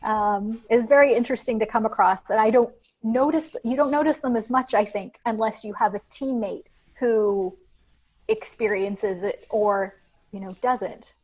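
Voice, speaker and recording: A woman's voice: on the high side, fairly even intonation, moderate pace, darkish tone, poor audio. A woman guest on a podcast interview, explaining her research in a thoughtful, conversational way with frequent fillers.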